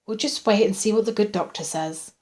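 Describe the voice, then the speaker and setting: A woman's voice: medium pitch, fairly quick, darkish tone, close to an everyday voice. A woman portrays a sympathetic hospital nurse in a storybook narration. She sounds soothing and lilting, reassuring with a teasing touch. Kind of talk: audiobook character.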